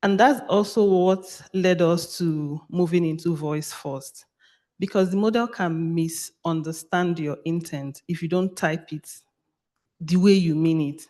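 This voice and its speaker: A panelist with a Nigerian accent speaks at a medium pitch. The delivery is explanatory and emphatic, with key phrases repeated for stress. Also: a little slow, dark tone. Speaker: panelist on AI language models.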